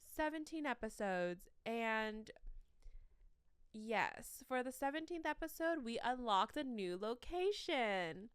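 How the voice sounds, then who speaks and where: A young woman hosting a casual solo podcast from the corner of her bedroom, with a shrill voice, rambling and self-mocking between fillers, sleepy. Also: lively intonation, takes it slowly, clear, resonant tone, dry recording, studio quality. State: sleep-deprived. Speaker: podcast host.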